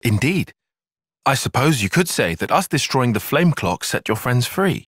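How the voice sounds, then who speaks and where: A young tactician for a video game, dryly critical and analytical. His voice has a slightly husky edge and a lively, shifting pitch. The diction is precise and formal, and the sound is dry and close. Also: moderate pace, no room sound. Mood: grave, analytical. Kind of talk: video game cutscene voice acting.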